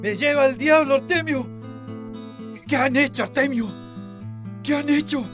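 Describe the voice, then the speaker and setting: A man's voice: very high-pitched, measured pace. An actor playing an appalled, agitated man who exclaims in a radio drama. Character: agitated, appalled reader of dreadful news. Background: acoustic guitar music.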